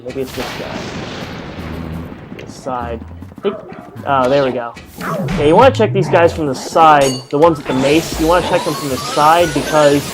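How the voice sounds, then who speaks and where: A man's voice: a little high, normal speed, long pauses. A man giving casual, rambling let's-play commentary through an earphone headset mic, full of fillers and self-deprecating asides. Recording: game music playing behind. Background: game music.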